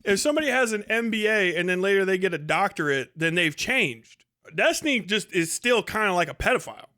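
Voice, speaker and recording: A man with a notably high, bright voice. He comments casually and profanely, with a sardonic, mocking edge, slipping into quotes as he argues. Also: lively intonation, a little fast. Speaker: streamer host reacting to clips.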